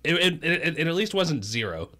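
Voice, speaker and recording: A man's voice: fairly high pitch, big pitch swings, normal speed, sharp tone. A man co-hosting a podcast, talking in an informal, conversational style. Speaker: podcast co-host. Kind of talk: casual panel chat.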